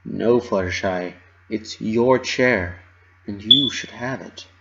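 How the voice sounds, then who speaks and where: A man voicing a loyal, guilt-ridden young female pegasus pony in a quiet, earnest way. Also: on the low side, slow pace, creaky, highs cut off, very noisy. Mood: quietly reassuring.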